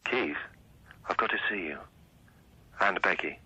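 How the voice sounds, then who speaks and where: An actor playing a terse, secretive police detective with a curt delivery, heard over a telephone. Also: male voice, sits low, a little slow, bright, forceful tone, breathy edge, highs cut off, exaggerated voice. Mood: urgent, secretive.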